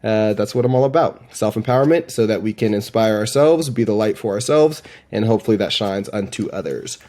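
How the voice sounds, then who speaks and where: A man's voice: lowish voice, animated, fairly quick, dark tone. A man giving casual demo commentary as a presenter, informal and slangy, with an enthusiastic manner. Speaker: presenter demonstrating AI voice assistants.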